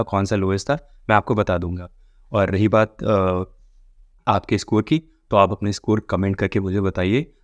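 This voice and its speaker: Low-voiced man explaining exam updates. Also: no room sound, studio quality. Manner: explanatory, addressing viewers directly. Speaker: exam-update video presenter. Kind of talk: informal explanatory commentary on exam results.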